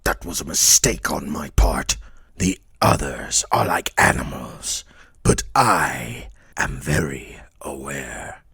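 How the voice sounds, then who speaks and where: Gravelly, husky undead villain. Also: male voice, extremely low pitch, sharp tone, dry recording, studio quality, full character voice. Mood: cold menace. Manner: slow, ominous, deliberate. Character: menacing undead killer, cold, arrogant, self-aware. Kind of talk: villain voice lines for a horror game.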